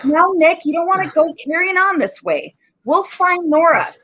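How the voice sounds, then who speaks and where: A woman's voice: very high-pitched, sing-song, normal speed, fairly bright tone, slight background noise, full character voice. A woman playing a plain-spoken, placating police detective in 1940s radio style, with folksy, slangy, pleading delivery.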